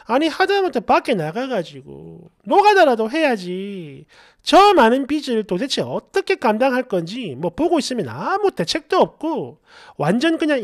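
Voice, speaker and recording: A man's voice: very high voice, dry recording, studio quality. A middle-aged man hosting a story channel, reading a first-person story aloud in a colloquial, exasperated, dramatized way with asides.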